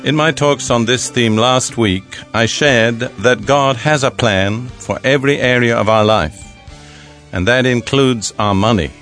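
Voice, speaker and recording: An older man teaching from the Bible in an archive sermon recording, speaking deliberately with pauses. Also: medium pitch, fairly bright tone, soft background music.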